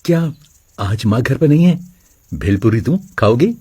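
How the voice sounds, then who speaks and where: A man playing a humble, gentle poor young street-food seller in a story. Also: mid-range voice, animated, normal speed, breathy edge.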